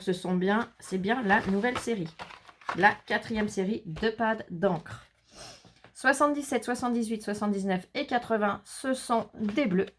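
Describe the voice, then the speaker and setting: A woman vlogging a scrapbooking haul, chatting casually as she reads out color names and numbers and jokes about herself. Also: mid-range voice. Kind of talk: casual haul video, showing products. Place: indoors, shutters closed against the heat.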